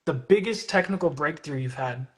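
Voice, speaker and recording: Young dude interviewing someone on a phone live, kinda high voice, says like and um constantly. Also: male voice, moderate pace, husky. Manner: hesitant, full of "like" and "um". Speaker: interviewer host. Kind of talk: casual interview chat. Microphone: phone on a live stream.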